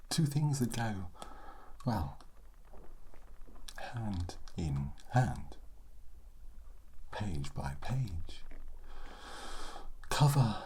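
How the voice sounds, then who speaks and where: A man voicing a sassy, bookish undead skeleton in a soft, breathy ASMR roleplay, slowly and with condescending, sardonic pauses. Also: on the low side, animated, slight background noise, rough recording. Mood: pedantic, dry. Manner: slow, deliberate, sardonic, with long dramatic pauses. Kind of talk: ASMR roleplay, soft-spoken character monologue.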